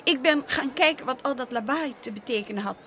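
A woman's voice: high voice, brisk, bright, forceful tone, slight background noise. An actress playing a proud, unhappy Dutch colonial lady in a radio drama, with formal, emotional delivery. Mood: haughty.

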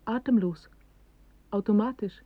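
A woman's voice: mid-range voice, unhurried, dry recording, close to an everyday voice. A woman playing a cryptic, wry figure with a veiled voice in a poetic radio drama, on a muffled 1980s recording. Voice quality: veiled, husky.

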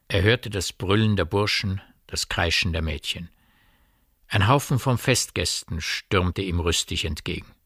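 A man's voice: deep voice, a bit bright, no echo, clean studio sound. A man giving scripted literary narration as the storyteller of a radio drama.

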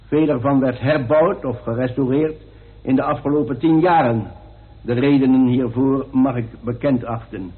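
An actor playing a formal, solemn coroner in a radio play, speaking in a pompous, deliberate, ceremonial way. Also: male voice, on the high side. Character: formal, solemn male coroner of the royal household. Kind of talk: radio drama acting, ceremonial speech. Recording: tinny band-limited old radio recording.

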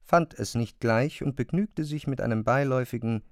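Male narrator for literary audio drama, mid-pitched, precise and measured, close dry studio sound. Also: no echo.

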